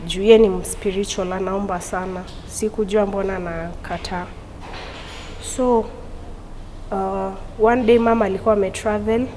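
A young woman, giving a halting personal testimony as an interview guest in a studio, talking through a lapel mic. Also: medium pitch, steady tone, a little mellow, long reverb tail, a little hiss or hum, poor audio. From Tanzania. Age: young adult. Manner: halting, with frequent restarts. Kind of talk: personal testimony, mixing Swahili and English. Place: indoor studio.

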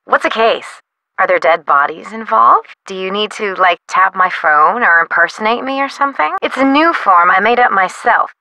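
A young actress playing a quirky, excitable, pretentious artist in a video game, with a perky, slangy, theatrical delivery. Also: female voice, mid-range voice, moderate pace, no room sound, low-quality recording. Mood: sassy, curious. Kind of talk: video game character dialogue.

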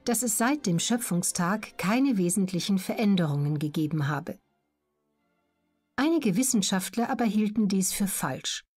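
A woman narrating an educational audiobook in a measured, clearly articulated, scripted reading. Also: medium pitch, a little mellow.

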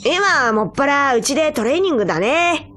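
High-pitched cocky boy alien hero. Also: lively intonation, brisk, fairly bright tone. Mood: cocky, eager. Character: cocky eager young male furry alien hero. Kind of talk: Japanese video game dub acting.

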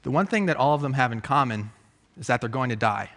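A man's voice: mid-range voice, lively intonation, quick pace, fairly bright tone. A man giving a reflective talk on a theatre stage, speaking through a headset mic. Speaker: conference speaker.

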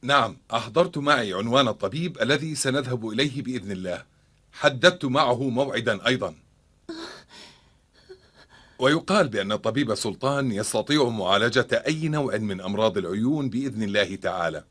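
Brisk, animated cartoon father. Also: mid-range voice. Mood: hopeful. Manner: earnest, firm, formal. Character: firm, protective bearded father, middle-aged, worried but resolute. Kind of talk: dubbed cartoon acting in formal speech.

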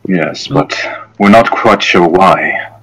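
Casting note: a man doing a fussy, polite governor, low voice, formal and halting. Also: a bit bright, husky, soft background music, heavily acted, poor audio. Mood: grave. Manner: formal, hesitant, apologetic.

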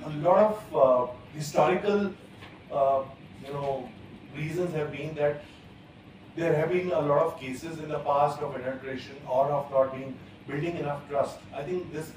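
A man, speaking as a panelist at a conference in a measured way, with many pauses and fillers. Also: fairly high pitch, fairly even intonation, a little mellow, a little hiss or hum. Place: large conference hall, at a panel table. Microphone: table gooseneck mic. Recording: echoey hall, distant from the mic.

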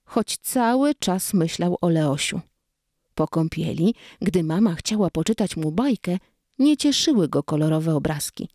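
A woman's voice: fairly low pitch, warm tone, no room sound, clean studio sound. A woman narrating a children's story expressively, acting out the dialogue.

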